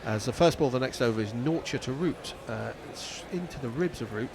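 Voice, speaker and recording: Brief: male cricket commentator, middle pitch, dry wit, steady noise behind. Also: moderate pace, creaky. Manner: dry, wry asides between deliveries. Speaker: ball-by-ball commentator. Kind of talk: live radio cricket commentary.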